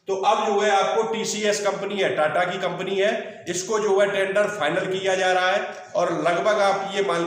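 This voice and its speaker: A very echoey, distant recording of a man. His voice is high for a man. He explains point by point like a coaching teacher, instructive and reassuring, dropping English terms into his speech. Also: fairly bright tone. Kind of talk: explanatory online lesson, point by point. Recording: very echoey room, distant mic.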